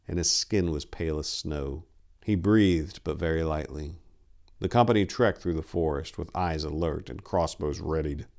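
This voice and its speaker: A man giving a solemn, deliberate dramatic reading of a dark fantasy horror story, in a theatrical manner. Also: a bass voice. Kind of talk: dramatic audiobook narration.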